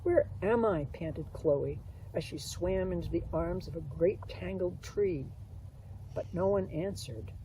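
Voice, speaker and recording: Woman reading a kids picture book outside, mellow voice, mic kinda far away, bit of noise. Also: lowish voice, slow pace. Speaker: author reading own children's book aloud. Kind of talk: children's storybook narration. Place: outdoors beside a pond. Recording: distant mic, faint outdoor noise.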